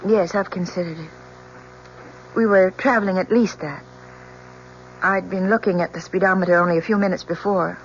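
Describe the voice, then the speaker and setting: An actress playing an anguished, truthful young woman in an old-time radio drama, speaking in a halting, earnest, emotional way. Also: lowish voice, fairly even intonation, close to an everyday voice. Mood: distraught, insistent. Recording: muffled, noisy old radio drama recording.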